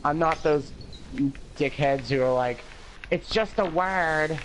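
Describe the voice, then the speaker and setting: Casual teen male streamer, slight lisp, rambling and animated, light hiss on the line. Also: slow pace. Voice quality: higher-pitched voice with an occasional lisp. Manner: talkative, rambling with many "like"s, swearing, animated laughs. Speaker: livestream host. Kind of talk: casual chat on a game livestream.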